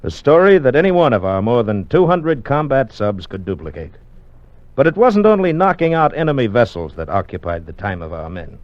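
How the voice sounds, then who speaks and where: A man's voice: medium pitch, sing-song, fairly quick, no room sound, close to an everyday voice. A man acting as a confident, easygoing navy narrator in an old-time radio docudrama, with a folksy, assured way of explaining things. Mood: confident, explanatory. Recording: dull lo-fi vintage radio recording.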